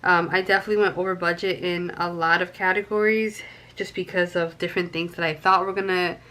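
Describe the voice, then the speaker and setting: A woman's voice: lowish voice, steady tone, fairly quick, bright, forceful tone. A young woman with a stuffy nose from a cold, chatting casually to camera and counting cash aloud with frequent ums. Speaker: budgeting vlogger.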